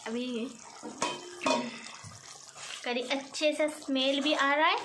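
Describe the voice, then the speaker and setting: Cooking host, woman, high voice, plain step-by-step delivery, sizzling pan behind. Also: fairly even intonation, slow. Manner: plain step-by-step instruction with hesitations. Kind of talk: home cooking video narration. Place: home kitchen. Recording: frying and sizzling noise behind voice.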